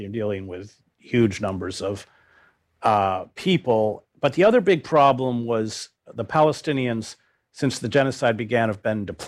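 An older man speaking as a journalist in an interview, recounting his work in a grave, deliberate, halting way. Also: medium pitch.

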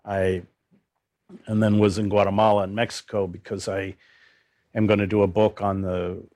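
Older man, low grave voice. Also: level tone, normal speed, darkish tone. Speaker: journalist and author, interviewee. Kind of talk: spoken interview, recounting reporting work.

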